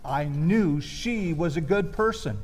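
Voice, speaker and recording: A man with a high-pitched voice shares a personal, gently comic reminiscence. He speaks slowly and hesitantly, with lively swings in his intonation. The delivery is that of a wistful storyteller who leaves room between phrases. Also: faint music behind.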